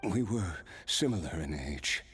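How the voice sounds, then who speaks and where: An actor playing a weary, solemn noble swordsman in a video game, reflecting on his past in a breathy voice. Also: low-pitched, expressive, a bit bright, heavily acted. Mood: somber, wistful. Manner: slow, solemn, reflective storytelling. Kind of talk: video game dramatic dialogue.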